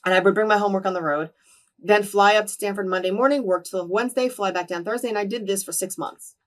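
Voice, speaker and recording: Fast-talking woman telling stories. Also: mid-range voice, recorded from a distance. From New Jersey. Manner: lively storyteller who acts out quoted dialogue. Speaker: interview guest. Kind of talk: casual storytelling in a sit-down interview.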